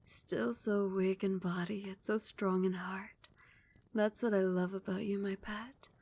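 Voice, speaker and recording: Vampire queen, played by a female performer: regal, doting and possessive, ASMR roleplay, hushed and soothing with breathy whispers. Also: medium pitch, fairly even intonation, a little slow. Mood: adoring, teasing. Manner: soft, soothing, often dropping into breathy whispers.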